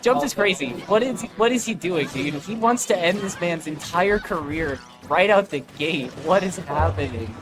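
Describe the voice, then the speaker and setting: Male esports caster, higher-than-average voice, casual and excitable play-by-play, prominent music behind. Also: moderate pace, hardly any pauses, rough recording. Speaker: esports commentator. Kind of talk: casual live play-by-play commentary.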